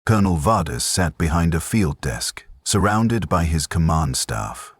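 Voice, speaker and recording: A man narrating a scripted dramatic story in a grave, deliberate manner. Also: low voice, lively intonation, slightly rough, no room sound, clean studio sound.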